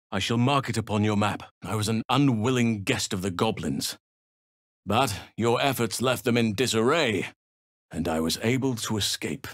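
Fantasy game elf druid: lowish, dry sound, wise, steadfast, measured and formal. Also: male voice, no echo. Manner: measured, formal, solemn phrasing. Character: large wise gentle elf druid man, earnest and steadfast. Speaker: video game companion character. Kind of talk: acted fantasy game dialogue.

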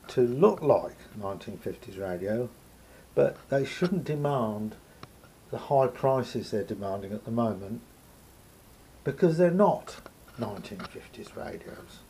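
A man with a gravelly, husky voice gives an unscripted hands-on demonstration, thinking aloud hesitantly as he explains. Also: medium pitch, mellow. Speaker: hobbyist radio repairer. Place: indoor room at a table.

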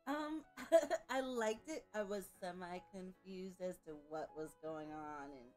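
A woman's voice: fairly low pitch, slow, darkish tone, faint music behind. A young woman co-hosting a reaction show in casual chat. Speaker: reaction co-host. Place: small furnished game room indoors.

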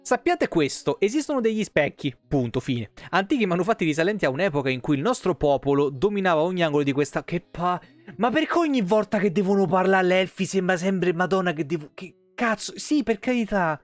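A man in his thirties with a Roman Italian accent reads game lore aloud on a live stream, expressive and informal, dropping into casual, sweary commentary. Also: high-pitched, brisk, no room sound. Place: indoor room, home streaming setup. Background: background music with guitar.

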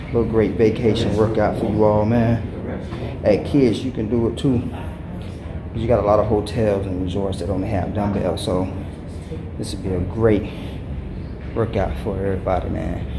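Man in his thirties, low voice, casual vlog chat, phone at arm's length, loud background noise. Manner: laid-back, says "man" often, hypes the workout. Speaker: vlogger. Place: resort gym, then walking outdoors and a buffet. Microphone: phone camera held at arm's length.

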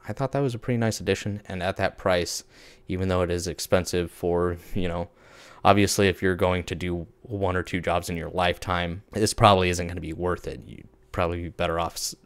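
Low-voiced man casually reviewing tools. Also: no room sound. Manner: relaxed, plain-spoken, with frequent uh fillers. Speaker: tool reviewer. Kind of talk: casual screen-share product rundown.

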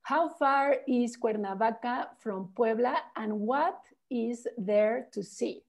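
A woman host on a video call puts audience questions to her guide. She reads them out briefly and plainly, in a somewhat high, mellow voice. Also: slow pace. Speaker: tour organizer reading audience questions. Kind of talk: reading questions aloud.

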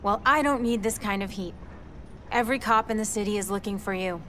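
A woman's voice: mid-range voice, brisk, a bit bright, a little hiss or hum, natural voice. A woman playing a guarded, self-assured young tech expert in a video game, with a curt, cool manner. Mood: wary. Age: young adult.

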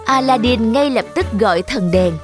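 A woman's voice: on the high side, lively intonation, normal speed. A woman reading scripted children's story narration as the narrator. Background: music under the voice.

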